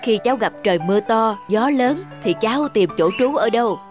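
A woman voicing a wise, kindly older uncle snail in a cartoon, patiently and gently guiding. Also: fairly high pitch, lively intonation, a little fast, close to an everyday voice. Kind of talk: cartoon dubbing. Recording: music behind the voice.

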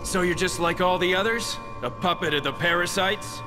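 An actor playing a tough, defiant, wisecracking young American agent in a video game. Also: male voice, high-pitched, normal speed, music in the background, lightly acted. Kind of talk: video game action hero acting.

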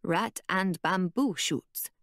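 A woman voicing a calm, cryptic old woman who speaks in a low, hushed, measured way. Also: dry recording, studio quality.

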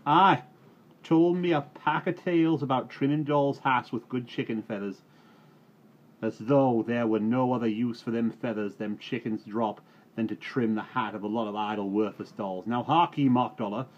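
A man voicing an angry, grasping farmer who shouts and scolds. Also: mid-range voice, normal speed, pitched above the performer's own voice, close to an everyday voice. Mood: scolding. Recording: dull recording, faint hiss.

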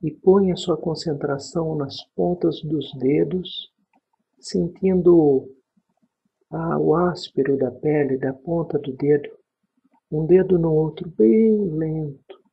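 A man with a Brazilian accent leading a guided sleep meditation, calm and unhurried, pausing between short phrases in a husky, breathy voice. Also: a little high. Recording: dull, muffled lo-fi recording.

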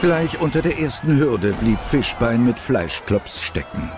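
A man's voice: medium pitch, sing-song, unhurried, over music. A man narrating a scripted story as the narrator of an audio drama.

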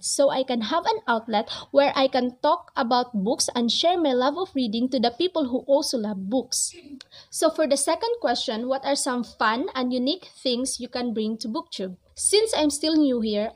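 A young woman in her twenties, not a native English speaker, talking earnestly to the camera about reading in a small room. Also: on the high side. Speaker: book vlogger. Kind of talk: casual talk to camera. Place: small cozy bedroom with string lights. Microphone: camera at close range.

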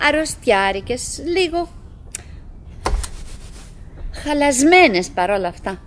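A high, swooping, wistful chatterbox wife. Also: female voice, big pitch swings, fairly bright tone. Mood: musing. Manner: fragmented, exclamatory, musing aloud. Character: chattering, wistful middle-aged wife, stubbornly cheerful. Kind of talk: theatrical radio drama monologue. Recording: noisy old radio drama recording.

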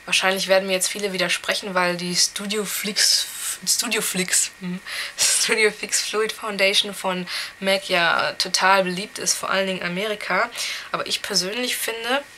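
Chatty young woman, slightly hoarse. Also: medium pitch, steady tone, normal speed, bright, forceful tone, a little hiss or hum. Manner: chatty, candid, many fillers. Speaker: beauty vlogger. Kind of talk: casual product review. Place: small room indoors.

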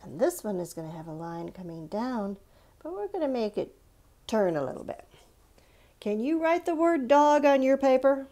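A woman's voice: fairly high pitch, sing-song, normal speed, dark tone. A woman giving a step-by-step drawing lesson for young children in simple, encouraging words, often checking in with questions. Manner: simple, encouraging, instructional, asks the listener questions.

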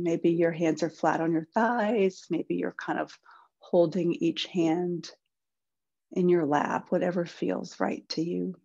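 A woman with a slightly low, soft and breathy voice leads a guided meditation. She speaks very slowly and gently, in a soothing way that leaves room between instructions. The sound is a little dull. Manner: soothing, gentle, unhurried with pauses. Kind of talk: guided body-scan meditation.

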